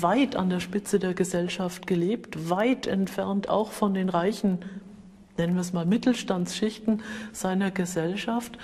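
A woman's voice: medium pitch, warm tone. A woman explaining as an expert in a documentary interview. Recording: reverberant hall, faint music behind.

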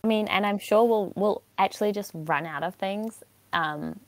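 A woman's voice: mid-range voice, moderate pace, dry recording. A woman with a British-Kiwi accent, co-hosting a podcast in bubbly, self-conscious chat. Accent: British and New Zealand mix. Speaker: podcast co-host. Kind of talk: casual chat.

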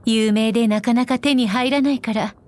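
A woman playing a poised, shrewd, wealthy noblewoman in an anime game, speaking in an elegant, composed, teasing and deliberate manner. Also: a little high, natural voice. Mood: shrewd, assured. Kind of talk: scripted anime game character voice lines.

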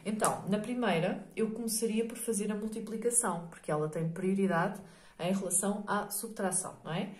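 A middle-aged woman with a European Portuguese accent, hoarse from a cold, walks through a maths lesson step by step. She talks patiently, at a middle pitch, and keeps checking in with the listener. The room around her voice sounds echoey. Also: fairly quick, darkish tone. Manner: patient, explanatory, many fillers and checks like "ok?". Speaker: maths teacher.

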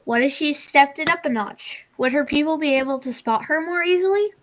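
A woman's voice: high-pitched, brisk, slight background noise, poor audio. A teenage girl reading a picture book aloud over a video call.

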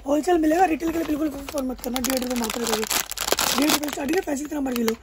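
A young man working as a wholesale shopkeeper, rattling off prices in an emphatic sales pitch and repeating his terms, in a crowded indoor market shop. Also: rapid-fire, heavy background noise.

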